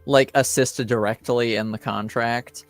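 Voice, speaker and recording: A man runs a tabletop roleplaying game in a medium-pitched voice. He explains options deliberately and hedges as he works them out. Faint music plays behind him. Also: steady tone, no room sound. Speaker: game master. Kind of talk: improvised tabletop roleplay narration and discussion.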